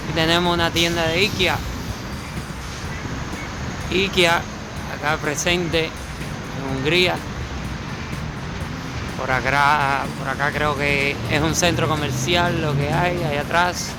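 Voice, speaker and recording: A Cuban man, his voice sitting a little high, talks casually while walking city streets in winter. He thinks aloud and often repeats words mid-sentence. Music plays clearly behind him. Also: fairly even intonation, slow pace, long pauses, poor audio. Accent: Cuban Spanish. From Cuba. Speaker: vlogger. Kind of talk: casual travel vlog narration.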